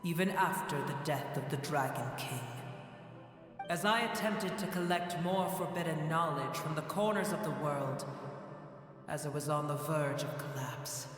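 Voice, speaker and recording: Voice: medium pitch, a bit bright, faint music behind, close to an everyday voice. Brief: actor as an ancient wounded dragon, scornful and solemn, in a huge echoing cavern. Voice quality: slow, grave and solemn. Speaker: game character. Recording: heavy cavernous echo with long reverb.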